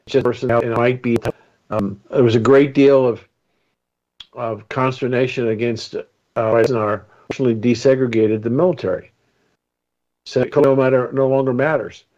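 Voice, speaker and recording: A man's voice: mid-range voice, steady tone, normal speed, a little mellow. Male radio panelist, earnest, rambling, muffled lo-fi line. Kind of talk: opinionated radio talk.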